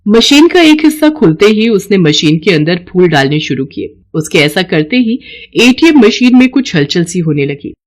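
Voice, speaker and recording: A woman narrating a scripted children's story in an expressive storytelling manner. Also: lowish voice, moderate pace.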